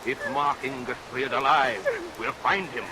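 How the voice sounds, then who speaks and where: A man playing a blustering, florid, excitable gentleman in a vintage radio melodrama, with declamatory delivery. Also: mid-range voice, moderate pace, a loud crowd, close to an everyday voice. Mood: gruff, indignant. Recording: vintage radio recording, steady rain noise.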